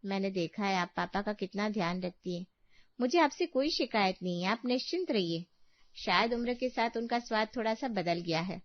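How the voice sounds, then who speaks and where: A woman playing a calm, supportive young sister-in-law in a family story, with an understanding, reassuring manner. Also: medium pitch, moderate pace, muffled recording, close to an everyday voice. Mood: calm concern. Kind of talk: acted dialogue in a Hindi family story.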